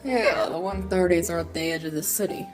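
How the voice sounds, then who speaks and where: Old robot war veteran voiced by a woman, laconic, weary drawl, fairly low register, light music behind. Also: fairly quick, lightly acted. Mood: weary, resigned. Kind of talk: amateur comic dub dialogue. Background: faint music.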